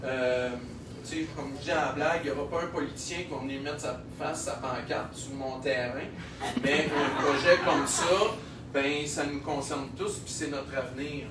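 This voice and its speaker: A man's voice: mid-range voice, normal speed. Québécois man speaking to a crowd. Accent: Québécois French. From Quebec. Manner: animated, chuckling, many fillers. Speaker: microbrewery owner. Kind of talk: speech at a local launch event. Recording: echoey room, heard from a distance, noisy.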